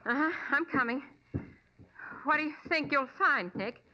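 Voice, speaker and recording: High-voiced, plucky radio girl assistant. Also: a little slow, a little hiss or hum. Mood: wry, game. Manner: pert, game, inquisitive. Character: plucky, eager young female detective's assistant. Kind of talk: 1940s radio detective drama acting. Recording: muffled old-time radio recording.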